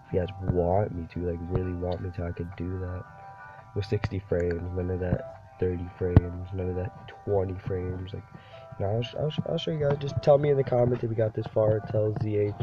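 Young man, sick, deep low voice, slangy rambling, dull lo-fi sound. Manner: rambling, slangy, says dude a lot. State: sick, voice deeper than usual. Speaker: gaming YouTuber. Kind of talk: casual gameplay commentary. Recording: dull lo-fi recording with game music behind. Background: game music.

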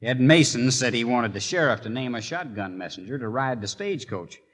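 Scheming outlaw, sly drawl, reverby old radio sound. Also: male voice, medium pitch, lively intonation, a little fast, a bit bright. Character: scheming outlaw Joe, cool-headed plotter. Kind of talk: 1950s radio drama acting.

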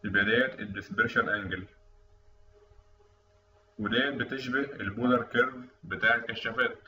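Fast-talking Egyptian man, echoey lecture audio. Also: mid-range voice, fairly even intonation, bright, forceful tone. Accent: Egyptian Arabic. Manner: explanatory, conversational, quick. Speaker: instructor. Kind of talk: screen-recorded technical lecture. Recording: distant, dull, echoey room mic with faint noise.

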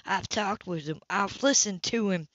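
A woman's voice: medium pitch, normal speed, fairly bright tone, no room sound, dull audio, natural voice. A woman reading an audiobook, voicing a blunt, bitter young man who speaks in a hard, sarcastic, cutting way. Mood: cold.

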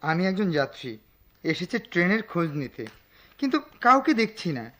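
A man's voice: sits high, sing-song, normal speed, bright, forceful tone, full character voice. A man with a Bangladeshi accent acting an enigmatic, teasing male traveller in a radio drama, in a cryptic theatrical manner. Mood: guarded, puzzled. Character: enigmatic teasing male passenger at a deserted station.

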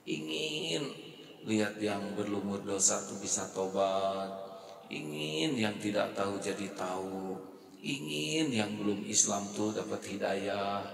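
A middle-aged man giving a casual sermon into a handheld microphone, often asking the audience to agree and moving between soft and loud. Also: lowish voice, lively intonation, slow pace, gravelly. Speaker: preacher. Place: large mosque hall, indoors. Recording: echoey hall from far off.

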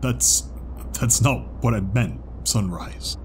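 A man plays a gentle young zebra stallion. His voice is on the low side and very slow, halting and bashful, as acted for an audio drama. A faint noise sits behind him. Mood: hesitant.

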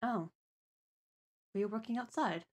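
A woman's voice: fairly high pitch, a little slow, darkish tone. A woman hosting a livestream, chatting casually and playfully with her co-host and viewers.

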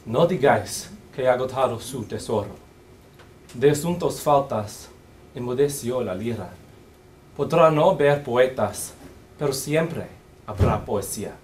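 Young man reciting poetry slowly. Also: mid-range voice, lively intonation, darkish tone, slight background noise. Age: young adult. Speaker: student reciting in a contest.

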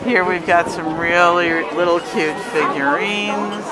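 A woman's voice: lowish voice, slow pace, rough recording. Chatty woman touring a crowded market. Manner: cheerful, chatty, promotional. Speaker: travel agent and host. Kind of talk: handheld vlog walking tour. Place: crowded outdoor Christmas market. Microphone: handheld phone camera. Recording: busy crowd noise around.

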